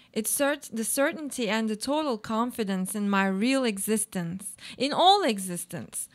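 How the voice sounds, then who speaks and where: A woman's voice: on the high side, close to an everyday voice. A woman acting in a live radio play as a weary, haunted woman, delivering a reflective, theatrical monologue. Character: weary, haunted woman brooding on hope and existence.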